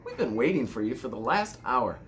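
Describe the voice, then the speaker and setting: A man's voice: medium pitch, sing-song, a little fast, fairly bright tone, slight background noise. A man voicing a polite, proper lady giraffe hostess in a storybook, in a courteous, prim manner. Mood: pleased, welcoming.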